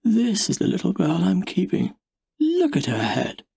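A man's voice: high-pitched, wildly swooping pitch, a little slow, gravelly, mellow, breathy edge, dry recording, studio quality, voice pushed up, exaggerated voice. A man voicing a proud woman dog breeder who shows off her puppies in a gushing sales tone.

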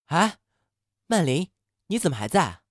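A man playing an earnest, easily flustered high-school boy in an amateur campus audio drama. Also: big pitch swings, measured pace. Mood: sincere.

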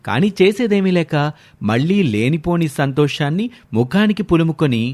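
A man's voice: on the high side, sing-song, moderate pace, darkish tone. A man reading scripted narration as the narrator of a story.

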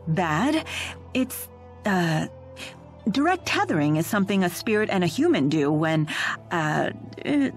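Proud young female spirit lord, low pitch, formal, measured, stern, video game dub, music underneath. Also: sing-song. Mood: flustered.

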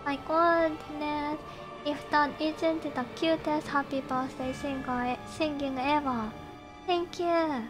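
Female game streamer, Japanese accent, very high voice, slow polite casual chat with viewers. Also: steady tone, music in the background. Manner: polite, thanks and greets viewers often. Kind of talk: casual livestream chat while gaming.

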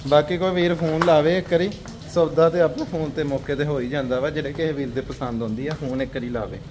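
Fast-talking Punjabi man, raised pitch, livestock dealer giving an on-site sales walkthrough; faint farmyard noise. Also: steady tone. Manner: brisk, asks prices then pitches to viewers. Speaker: livestock dealer showing buffaloes for sale.

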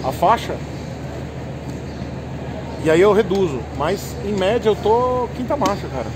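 A man's voice: sits high, sing-song, measured pace, noisy background. A man in his thirties from Brazil chatting casually and joking with viewers while on a motorcycle road trip outdoors, recorded on an action camera. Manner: joking, laid-back, talks to viewers. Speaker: motorcycle vlogger. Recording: music playing behind.

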